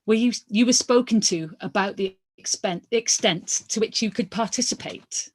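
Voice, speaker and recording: A woman's voice: medium pitch, normal speed, a little hiss or hum. Woman reading out formal questions, stumbling slightly. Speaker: reader of a lawyer's questions. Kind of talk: live read-aloud of a hearing transcript.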